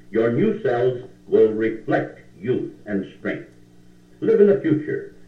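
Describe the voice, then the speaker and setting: A man's voice: mid-range voice, recorded from a distance. A man narrating a scripted self-help tape in an emphatic, declamatory manner. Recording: tinny, echoey vintage cassette tape.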